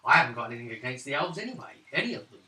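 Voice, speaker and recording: A man's voice: medium pitch, sing-song, rapid-fire, bright, forceful tone. A man voicing a friendly, easygoing village innkeeper in a tabletop game, explaining things, on a distant roomy recording. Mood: friendly, matter-of-fact.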